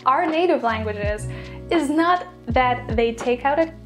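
A woman's voice: high voice, animated, a little slow, music in the background. A woman in her twenties from Poland, a native Polish speaker, teaching a language lesson straight to camera in an upbeat, explanatory way. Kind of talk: direct-to-camera video lesson. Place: small bedroom, indoors.

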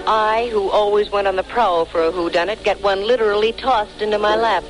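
A woman's voice: mid-range voice, steady tone, a little fast, soft background music, natural voice. An American actress playing a wisecracking female private eye and former model, narrating a 1940s radio detective drama with breezy, dry sarcasm. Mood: dry, wry. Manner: dry, wry, sardonic delivery. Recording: noisy 1940s radio broadcast recording.

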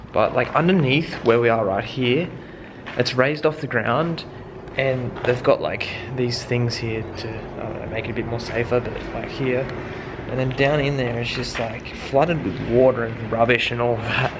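A man's voice: medium pitch, steady tone, normal speed, noisy background. A young man filming himself outdoors while exploring an abandoned building, chatting casually and wondering aloud with plenty of fillers. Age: young adult. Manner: curious, rambling with fillers. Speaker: vlogger. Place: outdoors in overgrown abandoned site.

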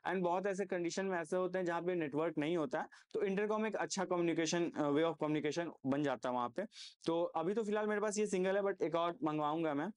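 A man's voice: fairly high pitch, brisk, clean tone. A man with an Indian accent giving a casual, unscripted product review, explaining point by point and often checking in with the listener. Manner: explanatory, frequently checks in with "okay". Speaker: motorcycle gear reviewer.

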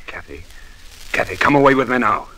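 A man's voice: mid-range voice, animated, brisk, pushed and bright, rough recording. A man playing a brooding, wounded, passionate young stable boy in a 1940s radio drama. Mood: bitter, pleading.

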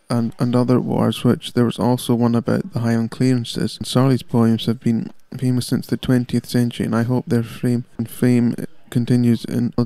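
Gravelly Scottish man presenting radio. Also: medium pitch, warm tone, no echo. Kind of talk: prepared radio talk.

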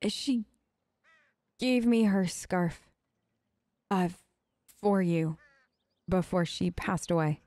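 A woman's voice: on the low side, takes it slowly, pauses often, darkish tone, no room sound. Female let's play host, casual earnest role-play, dry close mic. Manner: informal, earnest, empathetic. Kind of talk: casual role-play game commentary, talking in character.

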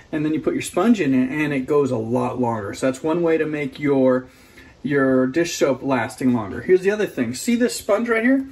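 A man's voice: on the high side, darkish tone. A man in his forties hosting a casual how-to vlog in his home kitchen, filmed on a phone held at arm's length, chatty and explanatory, often checking in with "okay?". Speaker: channel host. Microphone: phone camera held at arm's length.

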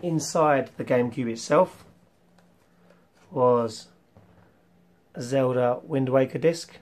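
A young man hosting a casual retro collection vlog, rambling with ums and clearing his throat, his voice slightly worn from losing it. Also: mid-range voice, slow pace, darkish tone, a little hiss or hum. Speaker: retro game collector vlogger. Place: small room full of toy shelves, indoors.

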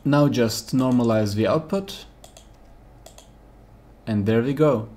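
A man's voice: medium pitch, slow pace, dark tone. A man giving concise, matter-of-fact scripted instructions as a tutorial presenter in a voice-over. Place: voice-over for screen recording.

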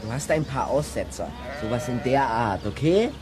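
A man's voice: mid-range voice, lively intonation, moderate pace, close to an everyday voice. An actor playing an earnest, probing boy detective in a children's audio drama.

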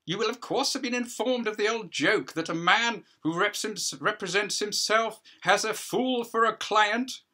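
Guy doing a fussy judge, patronising and fake genial, bright husky voice. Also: high-pitched, pitched above the performer's own voice, heavily acted. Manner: brisk, condescending, faux-genial. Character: fussy, patronising male judge at party hearing.

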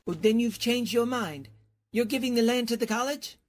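A woman puts on a well-meaning bumbler for an old-time radio comedy. The voice is rough-edged and fairly high. The delivery hesitates and stammers in a flustered way. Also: normal speed, close to an everyday voice. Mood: flustered, pleased.

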